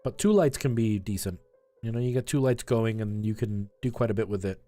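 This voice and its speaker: A man's voice: on the low side, brisk, mellow, soft background music. A man chatting casually and informally on a live game stream, rambling in asides to his viewers and giving tips.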